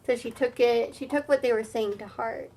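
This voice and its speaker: A woman reading a picture book aloud as a teacher, pausing for halting, simple explanatory asides. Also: fairly high pitch, steady tone, mellow.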